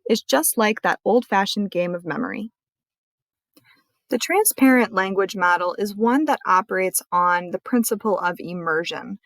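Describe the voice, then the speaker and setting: A woman librarian walking viewers through a screen-recorded tutorial in a clear, friendly explaining manner. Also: mid-range voice, a bit bright, no room sound.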